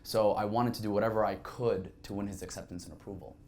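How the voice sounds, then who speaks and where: Deep-voiced man telling his life story. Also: fairly even intonation, fast talker, a little mellow, slight background noise. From Saudi Arabia. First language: Arabic. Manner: reflective, conversational, many fillers. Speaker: podcast guest. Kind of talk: candid personal storytelling.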